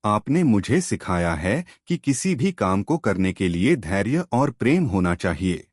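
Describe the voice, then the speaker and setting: A man voicing a humble, grateful young daughter-in-law in a storybook tale, soft and respectful. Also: fairly low pitch, big pitch swings, a little slow, no room sound, clean studio sound.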